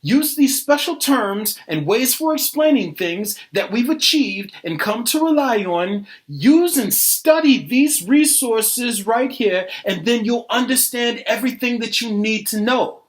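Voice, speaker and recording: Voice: mid-range voice, expressive, a little slow, few pauses, lightly acted. A performer playing an arrogant, self-righteous believer in a satirical dialogue, speaking with emphatic, impatient condescension and swearing. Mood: exasperated, preachy.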